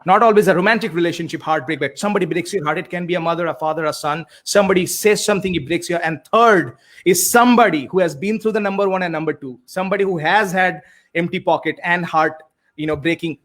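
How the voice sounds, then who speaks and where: Indian coach, male, Bengali native speaker, earnest storyteller, filler-heavy, slightly raised pitch. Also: quick pace, fairly bright tone. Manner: earnest, many fillers and repeated starts. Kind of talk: conversational storytelling.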